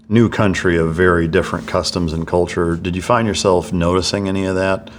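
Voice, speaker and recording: Podcast host guy, really low voice, rambly questions, faint hiss behind. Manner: long, rambling questions with many fillers. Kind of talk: podcast interview.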